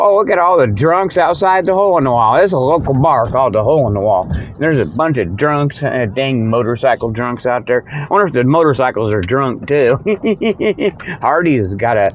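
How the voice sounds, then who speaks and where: A man hosting a podcast, rambling casually in a folksy, jokey, meandering way while driving in a car. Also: mid-range voice. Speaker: podcast host. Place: inside a moving car. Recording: dull lo-fi, car engine noise. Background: vehicle motor noise.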